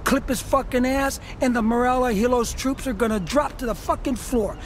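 A woman's voice: on the high side, a little fast, slight background noise, close to an everyday voice. Woman as brash, swaggering punk gang enforcer. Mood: scornful. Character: brash, tough, foul-mouthed punk female gang enforcer. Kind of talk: cinematic game dialogue.

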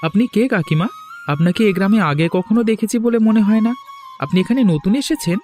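A man's voice: high-pitched, brisk, a little mellow, lightly acted. A man voicing a polite, helpful young village boy in a cartoon, with an earnest manner. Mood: curious, polite. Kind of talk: Bengali cartoon voice acting. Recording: faint music behind the voice.

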